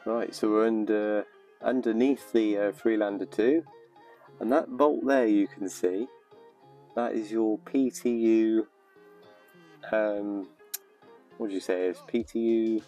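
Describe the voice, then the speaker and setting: A man's voice: on the low side, fairly even intonation, slow, pauses often, mellow, faint music behind. A man explaining a car repair step by step from under the car, hesitant and casual. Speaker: vlogger narrating car repair. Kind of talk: casual how-to commentary.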